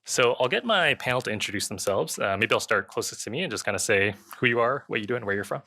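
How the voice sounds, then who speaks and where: A man's voice: medium pitch, lively intonation, quick pace, sharp tone. A man moderating a panel, giving a prepared introduction to an audience.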